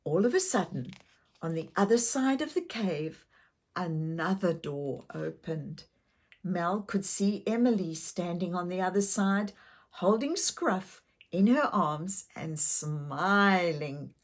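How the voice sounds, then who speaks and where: Female children's story reader, unhurried and expressive, speaking directly to young listeners. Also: lowish voice. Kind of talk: animated read-aloud storytelling for young children.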